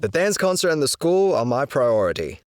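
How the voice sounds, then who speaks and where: Man as composed choreographer, lively pitch. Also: moderate pace, no echo, studio quality, close to an everyday voice. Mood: firm, reserved. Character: principled, composed male dance choreographer. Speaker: actor in an audio drama. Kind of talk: audio drama acting.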